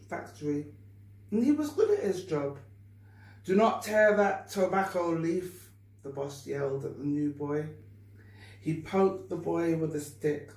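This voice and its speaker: A woman reads a children's story aloud at a very unhurried pace, phrasing it deliberately and expressively. She sounds some way from the microphone in a room with obvious echo. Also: deep voice, dark tone. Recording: echoey room, distant mic, faint noise.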